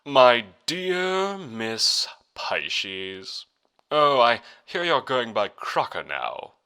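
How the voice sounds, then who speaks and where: A smug, sinister gentleman doctor from an audio drama, played by a male narrator, with a bright, cutting edge. He draws out his words slowly and condescends with mock courtesy, his pitch swinging widely. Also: big pitch swings, smooth, heavily acted. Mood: mockingly courteous. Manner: drawn-out, theatrical, condescending.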